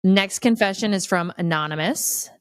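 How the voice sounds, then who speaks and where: A woman's voice: medium pitch, moderate pace, clean tone, no room sound, studio quality. A woman chatting casually as a podcast guest, speaking in a frank, opinionated way.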